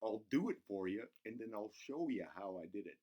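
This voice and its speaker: Goofy, excitable cartoonish buzzard played by a man, hosting for kids, giggly, very animated pitch. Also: brisk. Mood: playful, encouraging. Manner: giggly, self-interrupting, talks to himself in third person. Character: excitable, goofy buzzard host of a children's show. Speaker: children's show host. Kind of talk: playful craft demonstration for children.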